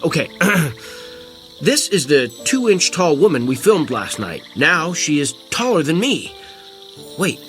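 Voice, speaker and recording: Man as an excitable, higher-pitched skeptical camper. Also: slow pace, faint music behind, exaggerated voice. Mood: skeptical, bossy. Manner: stammering, reactive. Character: excitable, skeptical man camping and filming a discovery. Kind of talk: audio drama acting.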